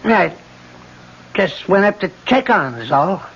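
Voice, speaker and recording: Nervous, high-pitched stammering handyman. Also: big pitch swings, measured pace, creaky, a bit bright, husky, exaggerated voice. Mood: flustered. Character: flustered, evasive boarding house handyman. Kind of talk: old-time radio drama acting. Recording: muffled, hissy old radio broadcast recording.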